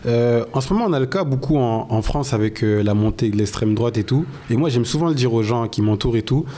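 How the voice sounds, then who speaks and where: Podcast host guy, lowish warm voice, kinda hesitant, lots of euh, little bit of hiss behind. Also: expressive, fairly quick. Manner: casual, hesitant, many fillers. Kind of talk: podcast interview.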